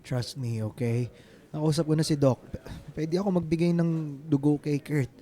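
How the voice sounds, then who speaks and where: Man acting a very mellow, devoted suitor. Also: on the high side, steady tone, moderate pace, close to an everyday voice. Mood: reassuring, earnest. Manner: earnest, reassuring, mixes in English. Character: devoted, reassuring suitor, earnest and self-sacrificing man. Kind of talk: Filipino radio drama acting.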